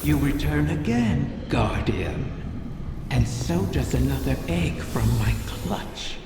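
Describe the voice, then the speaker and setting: A woman playing a vengeful ancient dragon in a video game, slow, theatrical and menacing, with a monstrous reverb on the voice. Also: a bass voice, expressive, exaggerated voice. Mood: suspicious, measured. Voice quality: gravelly, creaky. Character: vengeful ancient female dragon guarding her scattered eggs. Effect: cavernous monster reverb. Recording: long echoing reverb, music underneath.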